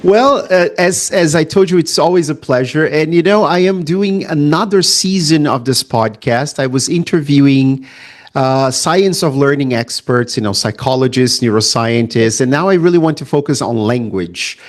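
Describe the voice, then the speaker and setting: A man hosting an interview podcast, enthusiastic and quick to laugh, whose first language is Portuguese. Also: a little high. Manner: enthusiastic, laughs often, frequent fillers. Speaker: podcast host. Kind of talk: conversational interview.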